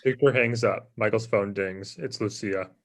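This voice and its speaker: A man's voice: on the low side, normal speed. A man giving scripted narration, reading out the stage directions of a screenplay.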